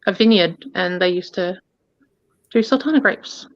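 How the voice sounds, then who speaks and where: A woman's voice: on the low side, normal speed, dry recording. A woman, chatting casually and rambling on a live stream. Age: 30s. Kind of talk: casual chat. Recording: dry, dull lo-fi sound.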